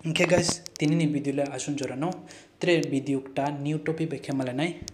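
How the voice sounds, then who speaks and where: A man with a Kaubru-accented English voice, calmly reading English phrases and their translations, one by one, for a language lesson. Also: a little high, slight background noise. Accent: Kaubru (Bru) accented English. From Northeast India. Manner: slow, deliberate phrase-by-phrase reading. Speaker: channel host teaching phrases. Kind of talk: scripted vocabulary lesson. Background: background music.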